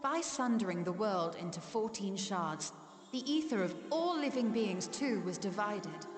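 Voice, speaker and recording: A woman's voice: mid-range voice, animated, lightly acted. A woman voicing a learned, earnest scholar in a fantasy game, formal and articulate. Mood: earnest, probing. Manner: formal, measured, articulate questioning. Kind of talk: dramatic fantasy game acting. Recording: reverberant hall, music underneath.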